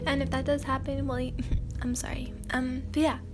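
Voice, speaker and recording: High-voiced woman doing sleepy asmr story reading, soft and kinda hesitant, pretty monotone, music behind. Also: moderate pace. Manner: soft and hesitant, many fillers and throat clears. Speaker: ASMR channel host reading fan fiction.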